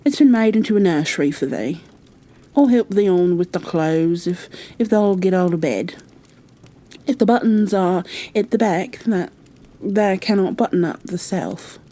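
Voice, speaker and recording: A woman's voice: on the low side, unhurried, mellow. A woman voicing a blunt, good-natured young housemaid with a Yorkshire accent in a storybook reading. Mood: brisk, helpful. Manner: blunt, chatty, plain-spoken.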